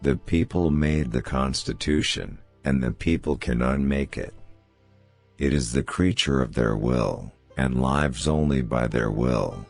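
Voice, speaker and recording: A man reads famous quotations as a scripted narration, in a measured, formal manner. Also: rumbling low, creaky. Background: background music.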